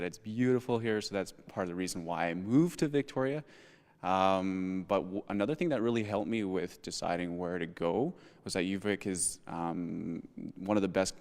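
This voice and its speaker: Deep, clear-voiced young man, halting delivery. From Banff, Alberta, Canada. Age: young adult. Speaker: student panelist. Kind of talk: casual unscripted panel answer. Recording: echoey hall reverb.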